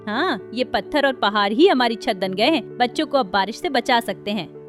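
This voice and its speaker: A woman's voice: on the high side, lively intonation, moderate pace, soft background music, lightly acted. A woman voicing a defiant village mother. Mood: earnest.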